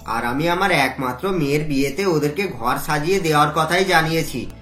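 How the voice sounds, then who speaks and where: Low-voiced earnest cartoon father. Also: animated, brisk, a bit bright. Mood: reassuring. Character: doting, worried middle-class father of a bride. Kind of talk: cartoon voice acting. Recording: roomy echo, music behind.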